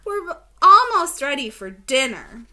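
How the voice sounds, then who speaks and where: A woman voicing anxious storybook cooks who serve a crocodile, announcing their lines brightly. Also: sits high, very animated, slow, voice pushed up, heavily acted. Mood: eager to please.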